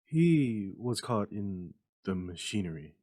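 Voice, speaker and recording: A man voicing a solemn, uneasy company messenger with a halting, formal manner, in a dramatic story reading. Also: lowish voice, big pitch swings, dark tone, exaggerated voice. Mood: quiet, solemn.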